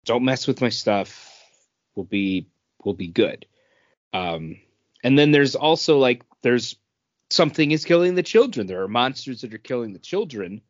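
Male co-host, average pitch, casual explainer, dry and slightly dull recording. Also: big pitch swings, moderate pace, no echo. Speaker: podcast co-host.

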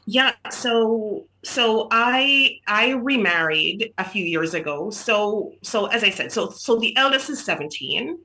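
A woman's voice: mid-range voice, animated, slow, few pauses, rough recording. A middle-aged woman from Jamaica, a guest author in a casual podcast interview, telling stories in a chatty way with many fillers and restarts. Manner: chatty, warm storytelling with frequent fillers and restarts.

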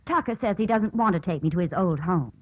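A woman's voice: fairly low pitch, expressive, fast. An actress playing a poised, gracious and flirtatious newlywed lady in a 1950s radio drama, with genteel, polished delivery. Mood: gracious, charming. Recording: tinny 1950s radio recording.

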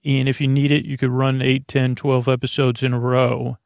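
A man with a gravelly, creaky voice at a middling pitch talks in a dry, close recording. He rambles reassuringly to the listener as a bedtime podcast host, halting, trailing off and circling back. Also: level tone, dry recording. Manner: reassuring, low-pressure, addresses the listener directly. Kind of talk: rambling bedtime monologue to help listeners fall asleep.

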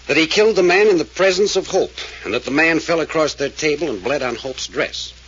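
A blunt probing detective. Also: male voice, on the high side, lively intonation, brisk, a bit bright, slightly rough. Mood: stern. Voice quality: blunt, insistent questioning. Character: blunt, probing adult male private detective. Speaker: hired detective. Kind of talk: 1940s radio acting. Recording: dull lo-fi old radio recording with faint hiss.

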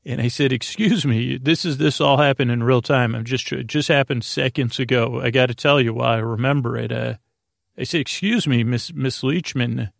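A man hosting a bedtime podcast, rambling through a halting, mumbling monologue with a droning, creaky voice. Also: mid-range voice, no room sound, studio quality. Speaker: podcast host.

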